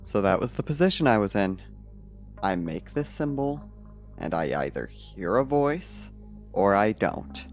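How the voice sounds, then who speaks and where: A man playing a troubled, pessimistic man in an audio fiction monologue, confessing in a halting, hesitant way. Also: lowish voice, big pitch swings, soft background music. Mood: anxious, hopeful.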